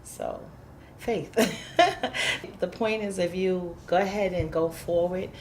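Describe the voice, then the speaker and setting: A woman's voice: lowish voice, takes it slowly, a little mellow, slight background noise. A woman, a community housing activist, telling her story candidly in an interview, emphatic and plain-spoken, acting out what people said.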